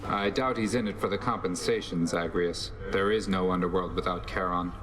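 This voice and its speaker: Man as a deep, stern god of death. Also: fairly even intonation, normal speed, over music, natural voice. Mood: stern, reserved. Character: stern, reserved god of death, matter-of-fact. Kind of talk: video game character dialogue.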